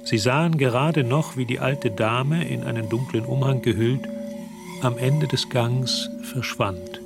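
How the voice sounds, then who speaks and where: A man's voice: mid-range voice, unhurried, creaky, loud music behind the voice. A man narrating a radio drama as a composed storyteller, reading scripted prose. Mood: composed, atmospheric. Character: composed storyteller narrating events in past tense.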